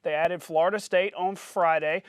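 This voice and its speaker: A man reading a scripted television sportscast as anchor, with punchy, announcer-like delivery and highlight narration. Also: steady tone, moderate pace, clean tone, dry recording.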